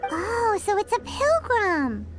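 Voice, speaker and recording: A woman voicing a tiny, cheeky, chirpy fairy companion with a squeaky voice in an anime game. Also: wildly swooping pitch, slow, over music, full character voice. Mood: curious, teasing.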